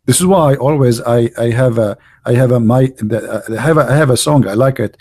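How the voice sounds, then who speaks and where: Gravelly-voiced man, mocking and sarcastic. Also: mid-range voice, brisk, warm tone. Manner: non-native English with frequent restarts. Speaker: online show host. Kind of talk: sarcastic religious commentary.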